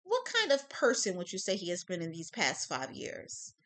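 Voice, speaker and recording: A firm, confident defense lawyer stands up for her client, as a woman reads the part. She speaks with assurance and a lively, animated pitch. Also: moderate pace, heavily acted. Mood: assured.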